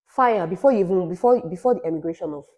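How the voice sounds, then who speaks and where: A woman's voice: fairly low pitch, lively intonation, quick pace, darkish tone. A young woman from Nigeria co-hosting a casual podcast chat, talking emphatically and rambling with restarts. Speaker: podcast co-host.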